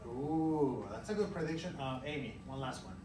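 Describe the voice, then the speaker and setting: A man's voice: on the high side, normal speed, recorded from a distance, crowd noise. A man teaching young pupils, reading a storybook aloud expressively and asking questions in an encouraging, emphatic way. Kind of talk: interactive read-aloud, storytelling and questioning children. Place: indoor classroom. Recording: roomy, noisy classroom, recorded from afar.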